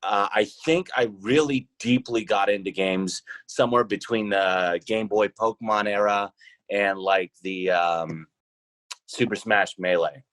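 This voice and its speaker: A man's voice: lowish voice, measured pace, a bit bright, no room sound. A man in his thirties chatting casually as a guest on a podcast. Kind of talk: casual chat.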